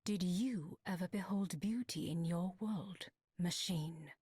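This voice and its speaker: Low, husky, solemn warrior. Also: female voice, darkish tone, dry recording, studio quality. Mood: solemn defiance. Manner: measured, poetic, grave. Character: solemn, steely female warrior. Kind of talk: video game voice acting.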